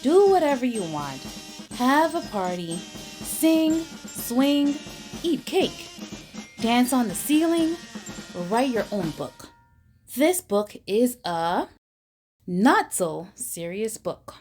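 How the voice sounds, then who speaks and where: A woman reading a children's picture book aloud, slowly and expressively, with mock-stern emphasis. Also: a little high, very animated, darkish tone, faint music behind. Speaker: storybook reader.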